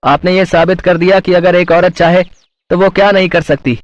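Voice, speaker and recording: A man's voice: high-pitched, fairly even intonation, normal speed, dry recording, lo-fi sound, lightly acted. A man playing an earnest, respectful young street vendor in a cartoon story. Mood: apologetic. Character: humbled young male street-food seller, apologetic. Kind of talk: Hindi moral-story cartoon voice acting.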